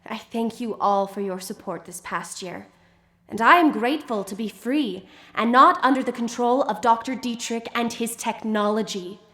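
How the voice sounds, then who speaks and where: An actress in a live radio drama playing an earnest, grateful creature with a measured, formal delivery. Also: female voice, a little high, a bit bright, reverberant, natural voice. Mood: formal, bittersweet. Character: formerly controlled creature, earnest woman, solemn and grateful.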